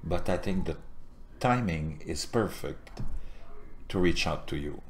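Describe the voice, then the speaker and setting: Man, 50s, French Canadian accent, French native speaker, low-pitched, earnest to-camera commentary, quiet murmurs and loud bursts. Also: expressive, slow pace. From Montreal. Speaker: political video host. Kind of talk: impassioned political commentary to camera. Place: small indoor home setup with a virtual backdrop.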